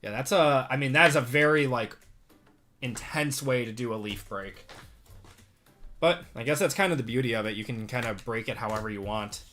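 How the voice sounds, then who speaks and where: A man's voice: mid-range voice, moderate pace, soft background music. A man in his thirties from Wisconsin with a Midwestern accent, hosting a live card break stream and chatting with viewers as he reads out cards. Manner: relaxed, chatty, reads out cards and answers chat. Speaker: sports card break host. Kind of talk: live-stream chat while opening cards.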